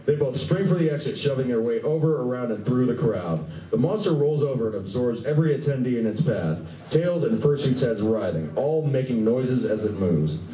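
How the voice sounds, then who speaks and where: A man's voice: medium pitch, lively intonation, moderate pace, few pauses, mellow, recorded from a distance. A man giving dramatic scripted narration for a live radio play. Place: live stage reading at a convention. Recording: echoey room, picked up from afar.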